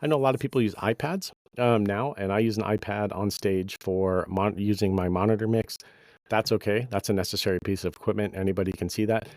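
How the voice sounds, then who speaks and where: Low-voiced man with a slight cold. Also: fairly even intonation, fairly quick, a little mellow, no echo, clean studio sound. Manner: conversational, many fillers, anecdotal. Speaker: podcast host, bass player. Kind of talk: advice talk to musicians.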